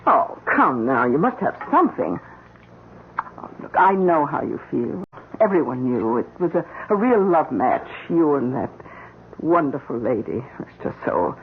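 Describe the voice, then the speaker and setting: A woman's voice: low-pitched, very animated, measured pace, heavily acted. A woman playing a kindly, respectable housekeeper who fusses with gushing sympathy, in an old-time radio mystery. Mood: solicitous. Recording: muffled narrowband radio, faint noise.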